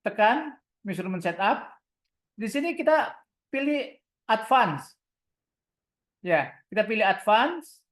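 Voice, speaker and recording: A woman's voice: on the high side, very animated, slow, pauses often. Indonesian woman giving step-by-step instruction. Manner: instructional, asks and answers own questions. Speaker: product trainer giving a software tutorial. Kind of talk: step-by-step online demonstration.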